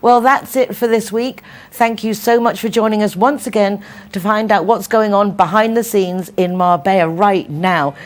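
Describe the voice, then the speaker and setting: A woman's voice: mid-range voice, normal speed, few pauses. A woman hosting a local lifestyle programme, interviewing guests in an effusive, complimentary manner. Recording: busy crowd behind the voice. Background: event crowd chatter.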